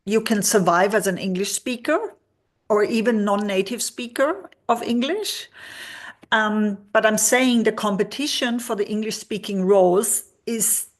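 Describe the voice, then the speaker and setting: German woman on a video call, explains job stuff, lots of ums, medium pitch. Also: a little slow. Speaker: career expert guest. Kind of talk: interview answers.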